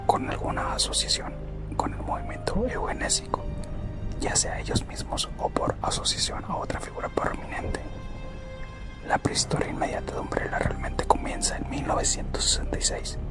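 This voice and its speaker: A person whispering a scripted ASMR roleplay narration in a slow, deliberate way, as a corporate recruiter. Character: corporate recruiter recounting company history. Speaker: ASMR content creator. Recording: close whisper over loud background music. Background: music.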